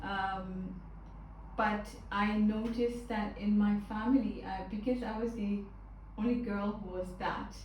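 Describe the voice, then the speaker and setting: A woman from Tanzania leading a group discussion, talking in a loud, expressive way. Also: mid-range voice, a little slow, a little hiss or hum. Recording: echoey room, distant mic.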